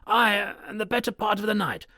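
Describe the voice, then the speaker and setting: A man voicing an old male butler who speaks with anxious, hushed dread and a gravelly edge. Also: sits high, animated, fairly quick, no echo, studio quality, pitched above the performer's own voice, heavily acted. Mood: fearful. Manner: anxious, earnest, dread-filled. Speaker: servant in a story.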